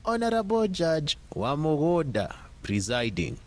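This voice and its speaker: A man's voice: fairly high pitch, wildly swooping pitch, unhurried, pitched above the performer's own voice. A man playing a matter-of-fact court clerk in an audio drama, announcing formally with a projected voice. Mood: official, matter-of-fact.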